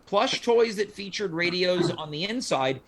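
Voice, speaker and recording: A man's voice: sits high, lively intonation, unhurried. A man hosting a talk show in a casual, chatty style. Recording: noticeable background noise.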